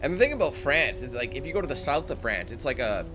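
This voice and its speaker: A man doing casual let's-play commentary, thinking out loud, talking to his viewers and reading the game text aloud. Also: mid-range voice, sing-song, fast. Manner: chatty, thinks out loud, talks to viewers as squad. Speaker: gaming YouTuber. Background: game music.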